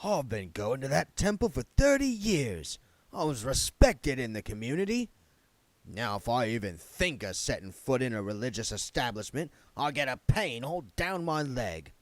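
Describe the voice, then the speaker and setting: A man's voice: mid-range voice, very animated, moderate pace, no room sound, natural voice. A man voicing a blunt, gloomy older working-class man, a reluctant vampire count, in a book read aloud.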